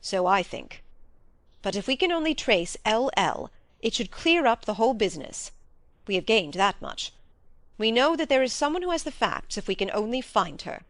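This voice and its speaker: Woman reading as a direct gentleman master of the house. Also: a little high, sing-song, moderate pace, no room sound. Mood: eager, puzzled. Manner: direct, questioning, authoritative. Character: brisk young gentleman baronet, master of the house. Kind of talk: audiobook character dialogue.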